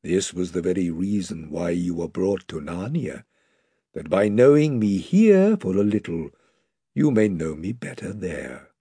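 A man voicing a huge, wise and gentle male lion who speaks softly and gravely. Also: low voice, animated, a little slow, no room sound, clean studio sound, pitched below the performer's own voice, full character voice. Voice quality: soft, hushed, grave.

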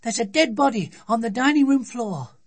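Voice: medium pitch, very animated, measured pace, a bit bright, breathy edge, pitched above the performer's own voice, exaggerated voice. An adult storybook reader voicing a blunt, defiant, bossy little girl. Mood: alarmed. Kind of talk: children's storybook reading.

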